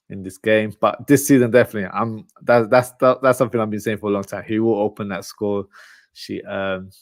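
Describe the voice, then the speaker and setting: A man's voice: lowish voice, creaky, no echo. A man who speaks Urdu at home, hosting a casual live football preview and talking informally and directly to his viewers. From Pakistani family from Lahore. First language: Urdu spoken at home. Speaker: football podcast host. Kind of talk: casual live chat, match preview and reading comments.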